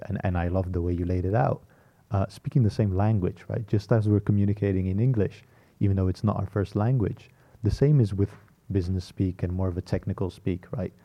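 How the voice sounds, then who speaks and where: A man with a deep voice hosts a casual interview. Dutch is his first language, and he talks agreeably, often checking in with his listener. The sound is a little dull and lo-fi. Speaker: interview host.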